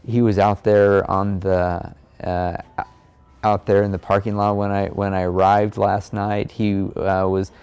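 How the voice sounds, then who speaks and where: A man with a low voice and a gravelly creak talks in an informal, reflective way. His delivery is earnest and halting, with very little movement in pitch. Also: flat delivery, darkish tone, highs cut off. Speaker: amateur cyclist and blogger.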